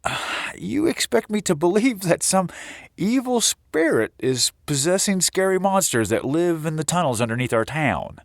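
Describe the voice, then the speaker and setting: A man's voice: sits high, sing-song, moderate pace, vocal fry, dry recording, heavily acted. Incredulous baffled guy, voice all over the place, audio drama, dry sound.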